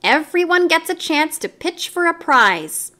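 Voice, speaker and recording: A woman voicing a loud male carnival barker who hawks and shouts at the crowd. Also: big pitch swings, unhurried, heavily acted. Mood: brash. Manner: booming, hawking.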